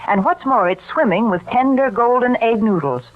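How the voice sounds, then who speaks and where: Lively, high-voiced woman selling on old radio. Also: normal speed. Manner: chatty, polished salesmanship. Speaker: radio sponsor announcer. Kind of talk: 1940s radio advertising read. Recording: tinny, phone-like old radio recording.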